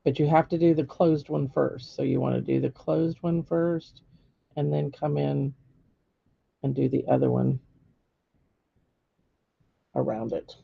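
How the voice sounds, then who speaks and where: A middle-aged woman with a hoarse voice, giving a live painting lesson step by step and checking in with viewers. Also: very deep voice, a little slow, pauses often, dry recording, narrow, tinny audio. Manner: patient step-by-step instruction with frequent "okay?" checks. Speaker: painting teacher. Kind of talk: live-streamed painting demonstration.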